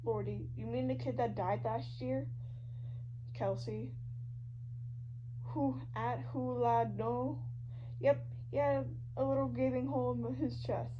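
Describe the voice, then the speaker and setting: A woman giving an animated, dramatic, slangy reading of online fan fiction, shifting between characters. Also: fairly high pitch, takes it slowly, long pauses. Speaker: podfic reader. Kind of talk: expressive fan fiction reading of social media posts. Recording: dull lo-fi audio with loud hum. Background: loud hum and noise.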